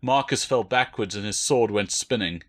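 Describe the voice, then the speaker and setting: A man's voice: on the low side, moderate pace, a bit bright. A man reading his own novel as a dramatic, scripted audiobook narration.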